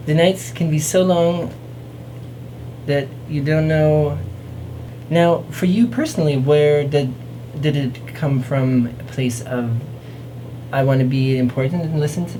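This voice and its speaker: A woman's voice: sits low, unhurried, warm tone, a little hiss or hum, rough recording. A woman hosting a talk show in casual, playful chat, rambling along in a whimsical way.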